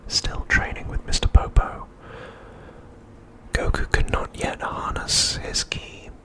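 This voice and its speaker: A man narrating a script as ASMR in a breathy, unvoiced whisper, softly and deliberately, pausing often between phrases. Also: poor audio. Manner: soft, deliberate, with frequent pauses between phrases.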